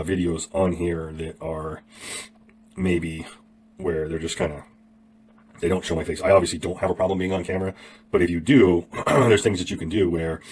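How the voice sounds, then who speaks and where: A hoarse man with a cold talks quickly and casually, walking his viewers through an online tutorial. His low, husky voice keeps catching, and he rambles, clears his throat and fills pauses as he goes. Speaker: YouTube affiliate marketing teacher. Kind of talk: casual tutorial talk to camera.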